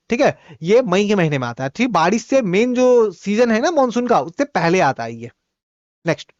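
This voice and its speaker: Man teaching exam-prep quiz, native Bhojpuri speaker, reads questions slowly then explains in quick repetitive asides, muffled lo-fi sound. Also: sits high, no room sound. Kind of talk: quiz lesson, explaining answers.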